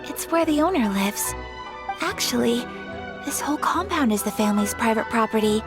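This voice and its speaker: A refined young noblewoman in a game, soft-spoken and courteous, her high voice moving with graceful lilts. Game music sits clearly under her words. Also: lively intonation, normal speed. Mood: polite. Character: young noblewoman, gentle, polite and reserved. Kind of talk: game voice acting. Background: game background music.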